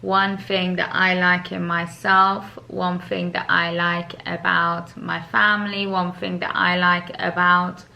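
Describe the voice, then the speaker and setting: A woman in her thirties chatting casually and giving calm, encouraging advice. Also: lowish voice, slow pace, creaky, few pauses, highs cut off. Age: 30s. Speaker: co-host. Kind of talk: casual Q&A chat.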